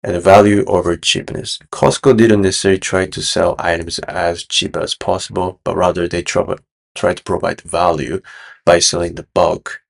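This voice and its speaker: Man, young adult, deep voice, creaky fry, measured explainer delivery, frequent uh pauses. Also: level tone. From Japan. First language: Japanese. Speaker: business explainer host. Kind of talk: explainer video to camera.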